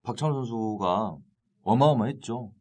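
A man's voice: fairly low pitch, normal speed, dark tone. A man with a Jeolla accent hosting a local podcast, joking and lightly teasing his guest. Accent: Jeolla dialect. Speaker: podcast host, news editor.

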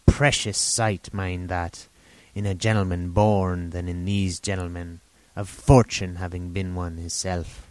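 A man voicing a half-wild marooned old sailor who rambles slyly in rough sailor grammar, in an audiobook reading. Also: sits low, little pitch movement, unhurried, darkish tone, no room sound. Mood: confiding. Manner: rambling, sly, confiding, with rustic sailor grammar. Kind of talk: audiobook character voice.

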